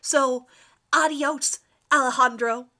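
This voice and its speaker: A woman's voice: high-pitched, fairly even intonation, takes it slowly, sharp tone, heavily acted. A woman voicing a defiant, smirking teenage girl with an emphatic, haughty delivery in a dramatic fan fiction reading.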